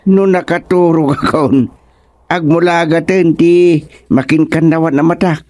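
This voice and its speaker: A man's voice: fairly high pitch, steady tone, measured pace, a little mellow, no room sound, natural voice. A man playing a comic, hungry, easily flustered male ghost in an Ilocano radio drama, bantering with exclamations and an Ilocano accent. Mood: playful.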